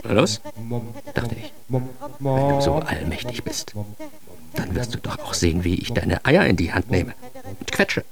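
A man's voice: medium pitch, animated, unhurried, creaky, long pauses, a crowd in the background. An actor playing a self-aggrandizing, wry man telling his own absurd story in a radio drama monologue, ironic and theatrical. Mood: taunting. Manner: ironic, theatrical, shifting into hushed asides.

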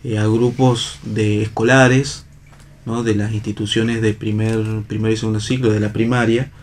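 A man with an Argentine accent talking casually as an interview guest, halting as he searches for words. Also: lowish voice, little pitch movement, a little hiss or hum. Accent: Argentine Spanish. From La Rioja, Argentina. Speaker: theatre actor and director, interview guest.

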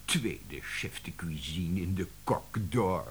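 An actor playing a blustering, sardonic man at a publishing house with a sentimental streak, in a Dutch radio play, speaking in theatrical, halting exclamations. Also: sits low, expressive, a little hiss or hum. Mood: rueful.

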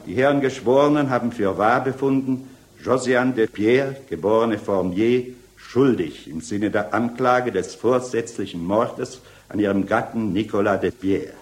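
An actor playing a solemn presiding judge in a 1960s radio drama, making formal, measured courtroom pronouncements with authority. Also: male voice, medium pitch, slight background noise, close to an everyday voice.

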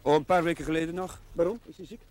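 Higher-voiced, earnest young chaplain played by a man. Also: steady tone, quick pace, darkish tone, natural voice. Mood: polite, hesitant. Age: 30s. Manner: hesitant, musing, searching for words. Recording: television drama with faint hiss.

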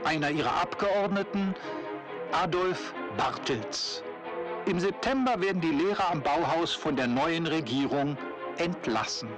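A man's voice: fairly high pitch, expressive, a little slow, over music. A man narrating as a factual chronicler in a German radio play, in scripted documentary style. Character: factual male chronicler announcing historical events.

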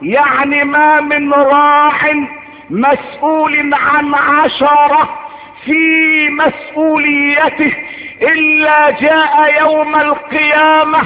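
A man's voice: very high-pitched, completely flat delivery, takes it slowly. A man with an Egyptian accent delivering an impassioned sermon, emphatic and declamatory, repeating phrases for effect. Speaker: preacher. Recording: phone-like band, long hall reverb.

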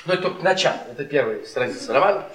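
Bitter sardonic husband, middle-aged, high voice, taunting, far off in an echoey hall. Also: male voice, animated, very fast, fairly bright tone. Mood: mocking storytelling. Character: bitter, mocking middle-aged husband, cruel witty storyteller. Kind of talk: Russian stage-style radio drama acting. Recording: echoey theatre, distant mic, audience noise.

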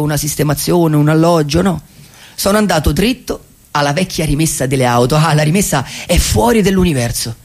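Radio play: male actor as a dreamy, wry drifter, fairly high-pitched and husky, telling poetic tales with pensive pauses. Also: a little mellow. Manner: wistful, musing, rhetorical questions, deliberate pauses. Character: wry, dreamy male vagabond storyteller, society's gentle outcast. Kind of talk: theatrical radio monologue, poetic storytelling.

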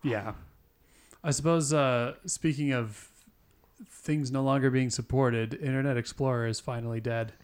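A young man in his twenties chats loosely on a podcast. He rambles through his points, chuckling as he goes, in a voice of middling pitch. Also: steady tone, a little slow, smooth. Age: 20s. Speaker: podcast co-host. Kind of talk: casual chat.

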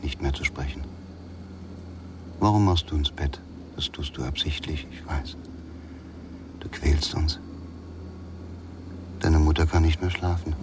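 Very deep, menacing stern father. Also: heavily acted. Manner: quietly menacing, accusing, coaxing. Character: stern controlling father pressuring his silent son. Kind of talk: German radio crime drama acting. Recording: distant noisy pickup, vehicle-like rumble.

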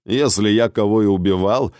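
A man's voice: lowish voice, moderate pace, darkish tone, no echo, clean studio sound, lightly acted. A man voicing a stern, imperious male ruler in an audiobook, speaking in a cold, unruffled, commanding manner. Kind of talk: audiobook character acting.

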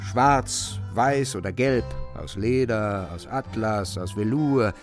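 A man's voice: on the low side, slow pace, faint music behind. A man narrating a literary radio drama as a dramatic storyteller.

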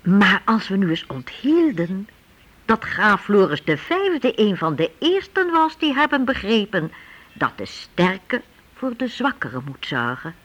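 An expressive, reflective narrator telling a scripted historical radio drama with emphatic phrasing. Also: medium pitch, unhurried, muffled recording, full character voice.

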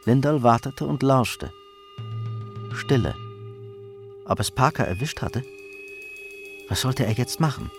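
A man narrating a crime radio play in a measured, suspenseful storytelling manner. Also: mid-range voice, expressive. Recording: music behind the narration.

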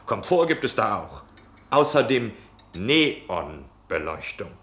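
A man's voice: on the low side, big pitch swings, takes it slowly, fairly bright tone, a little hiss or hum, exaggerated voice. An actor playing a nosy, self-satisfied travelling salesman in a German radio play, chatty and pompous. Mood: indignant, defiant. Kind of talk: German radio drama acting.

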